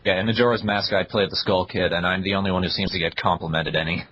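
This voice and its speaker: A man's voice: low voice, steady tone, quick pace, highs cut off, background noise. A young man co-hosting a casual podcast, reviewing web videos in a blunt, dry way. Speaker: podcast co-host.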